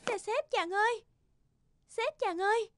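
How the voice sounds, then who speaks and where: A woman voicing an anguished young princess in an animated fairy tale, urgent and pleading. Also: extremely high pitch, measured pace, over music, voice pushed up. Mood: distressed, urgent.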